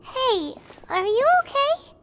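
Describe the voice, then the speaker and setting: A woman voicing a tiny, childlike fairy companion in a video game, squeaky and exclamatory, with music behind her. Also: very animated, takes it slowly, heavy background noise, exaggerated voice. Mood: surprised, concerned. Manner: exclamatory, refers to self in third person. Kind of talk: animated game voice acting.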